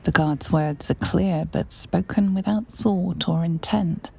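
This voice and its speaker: An ominous fantasy game narrator. Her voice is deep, creaky and husky, delivered in slow, hushed, measured phrases. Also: slight background noise, heavily acted. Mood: quietly ominous. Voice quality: gravelly, husky. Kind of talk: scripted second-person game narration.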